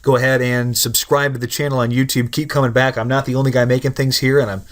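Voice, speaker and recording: A young man in his twenties walks through code on screen in a loose, conversational way. He cracks dry jokes and plays down his own skill. His voice sits at an everyday middle pitch. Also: little pitch movement, quick pace. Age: 20s. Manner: casual, joking, self-deprecating explainer. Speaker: programming tutorial host. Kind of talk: screen-recorded coding lesson.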